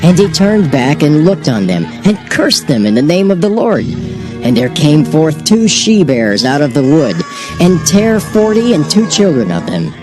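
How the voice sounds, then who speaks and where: A man narrating a dramatized scripture reading in a measured, solemn storytelling manner. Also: fairly high pitch, very animated. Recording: narration over background music.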